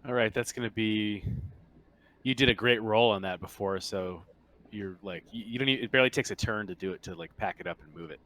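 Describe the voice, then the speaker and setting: A man's voice: lowish voice, a little fast, fairly bright tone, slight background noise. A man narrating a tabletop roleplaying game as game master in a casual, jokey, improvising manner.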